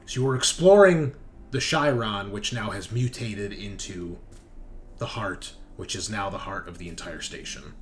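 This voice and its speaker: A man's voice: on the low side, moderate pace. A man running a tabletop game as game master, explaining and narrating emphatically, restating things for clarity. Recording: clearly noisy tabletop session recording.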